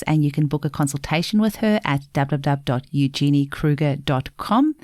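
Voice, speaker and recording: A woman's voice: sits low, no room sound, clean studio sound. Afrikaans-speaking woman hosting a podcast. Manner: warm, laughs often, says "lovely" a lot. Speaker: podcast host. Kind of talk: casual interview chat.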